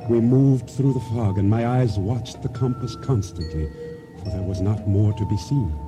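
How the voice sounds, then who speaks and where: An actor playing a wary boat owner who tells his tale gravely and deliberately in a radio drama. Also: on the low side, lightly acted. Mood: weary, watchful. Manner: deliberate, solemn, measured storytelling. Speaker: narrator and lead actor. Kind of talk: radio drama acting, first-person storytelling. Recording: radio drama with faint music underneath.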